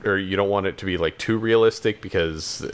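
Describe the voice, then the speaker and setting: A man co-hosting a podcast in casual chat, talking in a hesitant, halting way. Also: low voice, clean tone. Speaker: podcast co-host.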